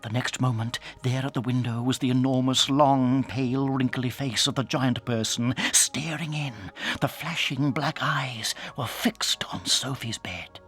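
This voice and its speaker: A man with a British accent narrating a dramatized children's story in a suspenseful, theatrical way that can drop toward a hush. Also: mid-range voice, fairly even intonation, unhurried, hardly any pauses, faint music behind. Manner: dramatic, suspenseful, hushing to near whispers.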